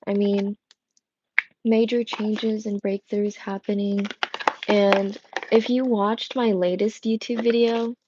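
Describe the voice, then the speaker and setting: A woman's voice: mid-range voice, level tone, slow pace, dull audio, heavy background noise. A young woman chatting casually as a tarot reader, her voice worn and strained, rambling with many fillers and restarts. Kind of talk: casual livestream chat. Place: home room with coffee machine. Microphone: earbud headset mic.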